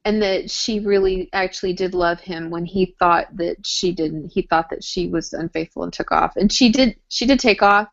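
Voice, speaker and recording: A woman co-hosting a casual chat show about a TV drama, speaking in an animated, exclaiming, teasing way. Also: lowish voice, moderate pace, hardly any pauses. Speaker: podcast co-host. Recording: dull lo-fi audio.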